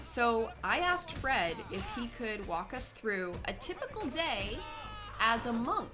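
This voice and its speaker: A Canadian woman hosting a casual gaming video, joking and reacting quickly, her voice gravelly today. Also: on the high side, big pitch swings. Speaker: let's play host.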